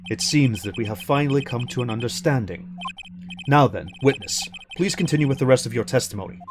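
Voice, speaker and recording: A Scottish man voicing an elderly male courtroom judge, with a slow, drawn-out, low delivery. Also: noisy background, close to an everyday voice. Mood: stern.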